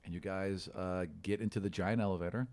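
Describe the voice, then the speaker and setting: A man working as game master, improvising narration in a casual, halting way as he sets the scene. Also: deep voice. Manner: casual, halting, animated storytelling with sound imitations.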